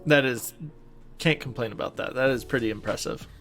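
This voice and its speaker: A man co-hosting a podcast, chatting casually and a little haltingly, often feeling his way through his thoughts. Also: mid-range voice, a bit bright, faint music behind. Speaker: podcast co-host. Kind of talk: casual chat about movies and video games.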